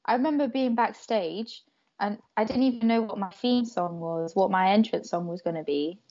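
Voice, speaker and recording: A woman's voice: medium pitch, normal speed, mellow. Young woman, croatian is her first language, giggles a lot, lots of ums and likes, dull lo-fi podcast sound. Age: young adult. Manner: giggly, laughs often between hesitant, filler-heavy phrases. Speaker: podcast guest, wrestler. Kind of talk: casual interview chat.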